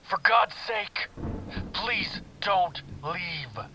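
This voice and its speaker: A man voicing an unknown adult male texter who sends urgent, pleading warnings, in a much higher voice than his own. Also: expressive, extremely slow, exaggerated voice. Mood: desperate. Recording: music bed behind voice.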